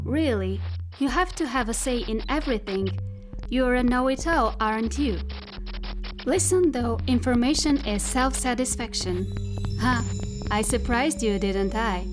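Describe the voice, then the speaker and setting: Sci-fi audio drama: female actor as a smug, possessive machine intelligence, higher-pitched, unhurried, insinuating, over-articulated. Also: expressive. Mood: smug, domineering. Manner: slow, deliberate, over-articulated, intimate and insinuating. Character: possessive, coaxing spacesuit AI machine, self-satisfied and controlling. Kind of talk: theatrical science fiction monologue. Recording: music behind the voice.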